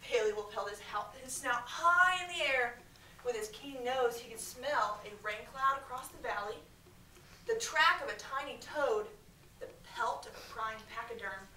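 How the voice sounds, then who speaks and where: Bright-voiced American woman teacher reading aloud. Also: on the high side. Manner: expressive, projected, pausing to question pupils. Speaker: elementary school teacher. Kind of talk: storybook read-aloud to a class. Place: medium-sized school classroom, indoors. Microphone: far camera. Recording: echoey classroom, distant mic, faint background noise.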